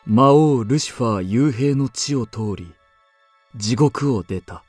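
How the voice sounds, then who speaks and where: An actor playing an earnest poet-pilgrim who recounts his journey deliberately as first-person radio drama narration. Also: mid-range voice, darkish tone, dry recording, soft background music. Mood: earnest narrating.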